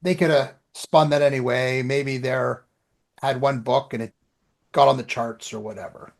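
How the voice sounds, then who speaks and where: A man's voice: mid-range voice, steady tone, normal speed, creaky, a little mellow. A man co-hosting a podcast, chatting casually and anecdotally in colloquial language, often acting out remembered exchanges. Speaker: podcast co-host and author.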